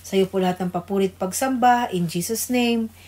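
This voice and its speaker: A woman's voice: medium pitch, normal speed, darkish tone. A woman with a Filipino accent co-hosting a devotional radio program, reading scripture and praying aloud. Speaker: co-host, pastor's wife leading scripture and prayer. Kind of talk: scripture reading and extempore prayer.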